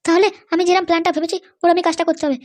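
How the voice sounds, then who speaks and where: A woman's voice: very high-pitched, level tone, racing. A woman voicing a scheming, fretful young woman who chatters to herself in an amateur comedy cartoon. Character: scheming young woman plotting around her secret boyfriend.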